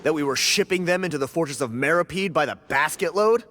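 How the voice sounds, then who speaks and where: A man's voice: fairly high pitch, fast, fairly bright tone, soft background music, close to an everyday voice. An actor playing a sarcastic, combative male prison escapee in a game cutscene. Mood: bitter. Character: bitter hot-tempered male prison escapee.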